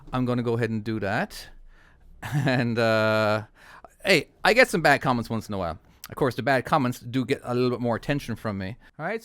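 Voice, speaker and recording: A man's voice: mid-range voice, fairly bright tone, clean tone, no room sound, studio quality. A man with an Irish accent hosting his own channel, chatting casually and laughing often while narrating a truck-driving game. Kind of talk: casual gameplay commentary.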